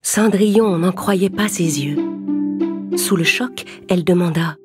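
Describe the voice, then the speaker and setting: A woman narrates a fairy tale for children. Her voice sits a little low, and music plays loudly behind her. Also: unhurried.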